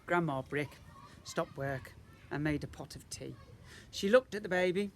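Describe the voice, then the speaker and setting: A man's voice: fairly high pitch, unhurried, a little hiss or hum. A man reading a picture book to young children as a teacher, emphatically, with rhetorical questions and asides. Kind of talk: animated picture-book storytelling.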